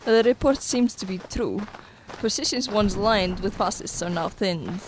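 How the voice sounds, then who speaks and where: A woman's voice: medium pitch, unhurried, lightly acted. Woman acting a resolute Soviet sniper, noisy recording. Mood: defiant, proud. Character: resolute young Soviet woman sniper lieutenant of the Red Army. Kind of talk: radio drama acting.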